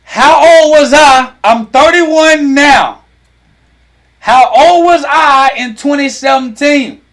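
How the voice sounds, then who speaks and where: A man in his thirties hosting a lively talk, teasing and quizzing his online audience. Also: very high-pitched, slow. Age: 30s. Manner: animated, teasing, asks the audience questions. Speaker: host.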